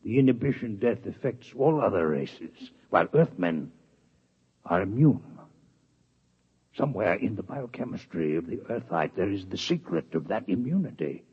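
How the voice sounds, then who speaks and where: A husky, formal alien doctor. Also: male voice, on the low side, sing-song, exaggerated voice. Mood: measured. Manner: precise, formal, unhurried diction. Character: calm, formal male alien doctor from another planet. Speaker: visiting alien scientist. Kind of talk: 1950s radio drama acting. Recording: dull lo-fi 1950s radio broadcast.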